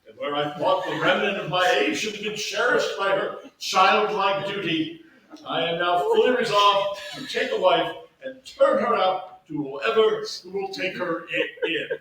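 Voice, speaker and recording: A man playing an aging, scheming duke and exasperated father in a staged Shakespeare reading, with a theatrical, halting, drawn-out delivery. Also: sits high, bright, forceful tone. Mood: aggrieved. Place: staged reading in a large echoey room. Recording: echoey hall from far off, faint noise.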